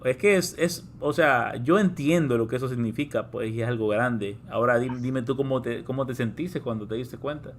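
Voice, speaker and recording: A man hosts a casual live-stream interview in a mid-range voice, talkative and prone to quick asides and restarts. Faint ambient noise sits under his voice. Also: mid-range voice.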